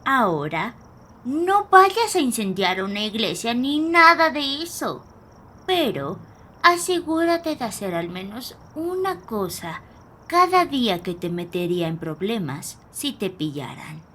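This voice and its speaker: A woman playing a wry, folksy grandmother who tells grim tales in a slow, dramatic way for a horror audio drama. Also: a little high, sing-song, bright, forceful tone, slight background noise, heavily acted. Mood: affectionate, wry. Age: older.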